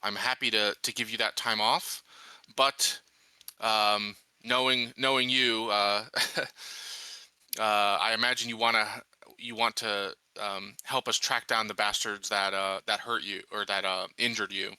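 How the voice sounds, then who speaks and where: Male roleplayer, lowish pitch with a strikingly bright edge, hesitant off-the-cuff delivery, casual. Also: flat delivery, unhurried, smooth, dry recording. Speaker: player in a tabletop roleplaying game.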